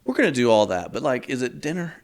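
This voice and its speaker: A man in his thirties, co-hosting a podcast in relaxed, rambling chat, laughing often. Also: medium pitch, fast talker. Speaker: podcast co-host. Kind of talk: casual chat.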